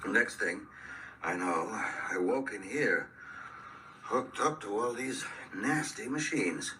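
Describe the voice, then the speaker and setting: An actor playing an earnest, resolute older schoolteacher in a radio drama, with precise, formal phrasing. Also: male voice, on the low side, animated, slow pace, sharp tone, full character voice. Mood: shaken, grave. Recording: distant replayed radio drama, faint hiss.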